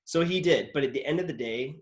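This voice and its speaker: A man's voice: on the high side, quick pace. A man hosting a casual interview show, telling stories from his acting work in a loose, rambling way full of "like" and restarts. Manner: rambling, heavy use of "like" and restarts. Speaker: podcast host and actor.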